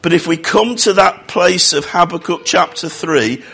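A man preaching a sermon, croaky from a cold, with jokey, self-mocking asides. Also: sits high, a little slow, a bit bright.

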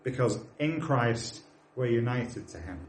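A British man in his forties preaches to his congregation, earnest and exhorting, with a mid-pitched voice. He slips easily into friendly asides. The sound rings around a roomy church space. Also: measured pace, slight background noise. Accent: British English. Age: 40s. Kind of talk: sermon.

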